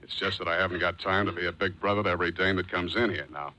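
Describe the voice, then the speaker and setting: An American actor in a 1940s radio drama playing a cool, wisecracking private detective, dry, clipped and sardonic. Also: male voice, sits low, fast, bright, forceful tone, low-quality recording. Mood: wry, dismissive. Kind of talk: 1940s radio acting with first-person narration. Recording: old 1940s radio recording.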